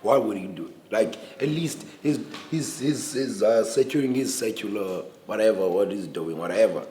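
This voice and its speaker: A young man with a lowish voice speaks earnestly, stopping and restarting his sentences as he argues his point. He is a native Xhosa speaker. A faint hiss of noise sits under his voice. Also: dark tone. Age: 20s. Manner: earnest, halting with many restarts. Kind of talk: casual panel discussion.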